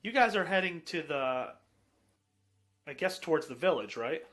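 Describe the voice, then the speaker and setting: A man's voice: fairly high pitch, lively intonation, moderate pace, fairly bright tone. A man narrating and improvising a tabletop fantasy game as game master.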